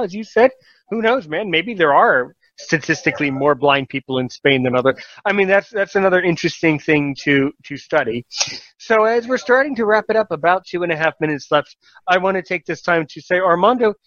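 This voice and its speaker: A man's voice: a little high, fairly bright tone, no room sound, lo-fi sound. A man hosting a casual podcast talk show, encouraging and rambling, often correcting himself mid-sentence.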